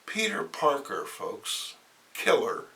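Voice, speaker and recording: A man's voice: a little high, sing-song, slow, bright, forceful tone, low-quality recording. A man giving a theatrical, comedic narration as he reads a comic book aloud.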